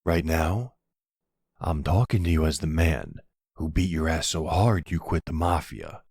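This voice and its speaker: A charming, protective mobster boyfriend, acted by a man for an ASMR roleplay. His voice is extremely low and husky, tender with a dangerous edge. He takes his time with every line, recorded dry and close. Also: animated, a little slow, a little mellow, no echo, full character voice. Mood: cold, menacing. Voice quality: gravelly, husky.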